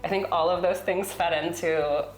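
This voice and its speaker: A woman's voice: lowish voice, steady tone, moderate pace, slight background noise. An American woman from California chatting casually as she tells stories in an interview, with informal wording. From Sonoma County, California, US. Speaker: interior designer being interviewed on a home tour. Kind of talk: casual conversational storytelling. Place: indoors in a home. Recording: roomy indoor echo.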